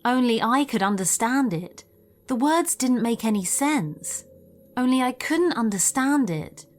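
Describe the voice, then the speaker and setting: A woman's voice: on the high side, lively intonation, unhurried, faint music behind. A woman reading a scripted first-person story as a narrator of scary tales. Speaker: narrator of listener-submitted scary stories.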